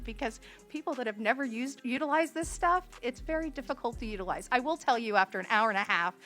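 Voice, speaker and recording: Woman, bright forceful tone, informal civic speaker, frequent pauses, faint music underneath. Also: on the high side. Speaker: resident activist giving public comment. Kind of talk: public comment at a government meeting. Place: county meeting room. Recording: music behind the voice.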